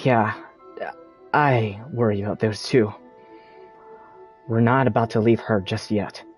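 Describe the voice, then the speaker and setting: An actor playing an earnest, concerned young traveler in a video game, speaking in a measured way. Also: medium pitch, fairly even intonation, soft background music, natural voice. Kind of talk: video game character acting.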